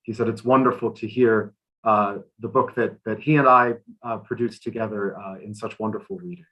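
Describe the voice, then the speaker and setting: A man working as translator and interpreter at a live talk, speaking haltingly with repeated words. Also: on the low side, steady tone, pushed and bright, muffled recording.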